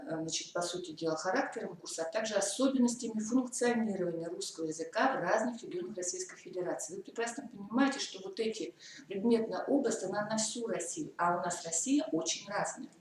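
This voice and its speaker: A woman's voice: medium pitch, a little mellow. A woman from the Komi Republic giving a methodical teacher-training lecture, walking through points step by step with hesitant fillers. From Komi Republic, Russia. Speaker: lecturer on school curriculum. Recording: echoey hall from far off, faint noise.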